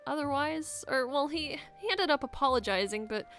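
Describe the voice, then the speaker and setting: A woman playing a troubled young camp girl in an improvised game roleplay, speaking haltingly and trailing off as she confides. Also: sits high, expressive, fairly bright tone, soft background music. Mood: worried, unsure. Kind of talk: improvised Minecraft roleplay dialogue.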